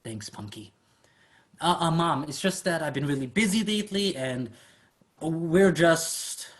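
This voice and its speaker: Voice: medium pitch, lively intonation, unhurried, close to an everyday voice. An actor playing a flustered, defensive grown son, stammering and evasive, in a staged comedy reading.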